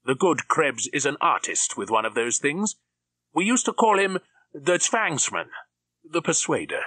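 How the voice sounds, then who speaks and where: A menacing middle-aged villain barks clipped orders in a bright, forceful tone, voiced by a male reader. The delivery stays cold and mocking, pitched up from a narrator's usual voice. The sound is dry and close. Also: very animated, measured pace, slightly rough, dry recording, exaggerated voice. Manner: clipped, commanding, coldly mocking.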